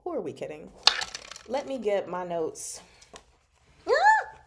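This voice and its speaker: A woman hosting a casual book discussion, speaking from notes in an animated, giggly, self-interrupting way. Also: medium pitch, slow, heavy background noise. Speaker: host of a book discussion show. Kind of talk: casual fan discussion, reading from notes and comments.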